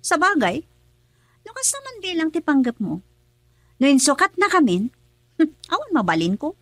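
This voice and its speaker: A woman's voice: sits high, wildly swooping pitch, normal speed, no echo, full character voice. An actress playing a young Ilocano wife in a radio drama, teasing and nagging her husband.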